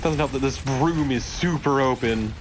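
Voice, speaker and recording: A man's voice: medium pitch, normal speed, noisy background. A young man chatting casually and wryly as co-host of a gameplay video, swearing freely. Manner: wry, profane. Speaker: let's play co-host. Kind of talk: casual joking gameplay chat.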